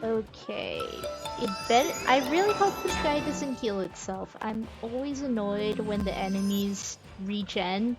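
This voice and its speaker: A woman's voice: mid-range voice, animated, measured pace. A woman, chatting informally as she commentates on a game livestream. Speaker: game streamer. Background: video game music.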